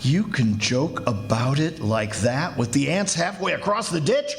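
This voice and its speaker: A man playing a grave, horrified commissioner, narrating dramatically and deliberately in 1940s radio mystery style. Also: on the high side, animated, creaky. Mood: anxious. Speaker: radio theatre actor. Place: live radio theatre performance on a college campus. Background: dramatic music.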